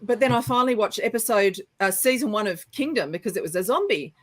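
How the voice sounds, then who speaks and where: A woman's voice: mid-range voice, lively intonation, quick pace. A woman chatting casually as co-host of a talk show, self-deprecating and full of restarts. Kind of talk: casual chat.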